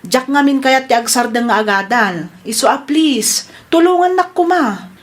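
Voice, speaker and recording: An actress with a Filipino accent playing a young college student, a pleading little sister, in an Ilocano radio drama. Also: female voice, high voice, expressive, moderate pace.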